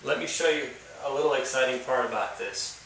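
A man's voice: mid-range voice, a little fast, vocal fry, slight background noise. A middle-aged man giving a plain, step-by-step how-to demonstration of wiring a solar setup, talking while he works. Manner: plain step-by-step explaining, relaxed. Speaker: DIY instructor. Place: outdoors by a house, then indoor workshop. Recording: slightly distant camera audio with faint ambience.

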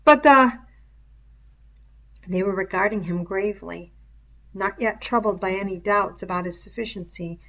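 A woman reads a story aloud in scripted narration. Her voice is bright and forward at a middle pitch, though the recording sounds slightly muffled. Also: steady tone, moderate pace. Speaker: reader of an audiobook read-aloud. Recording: dull, muffled lo-fi home recording.

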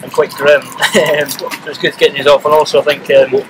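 A young man with a Scottish accent, a crew member chatting on a marina pontoon in a relaxed, hesitant way, with lots of fillers. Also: fairly high pitch, fairly quick, background noise. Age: young adult. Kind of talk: casual chat.

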